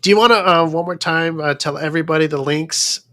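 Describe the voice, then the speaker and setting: A man's voice: a little high, a little fast, sharp tone. Enthusiastic male talk show host. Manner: enthusiastic, repeats words, restarts sentences. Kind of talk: casual interview chat.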